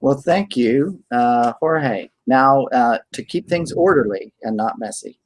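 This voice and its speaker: Pastor hosting a video panel. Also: male voice, mid-range voice, expressive, takes it slowly, dry recording. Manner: courteous, gently welcoming. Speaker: lead pastor, host. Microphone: webcam on video call.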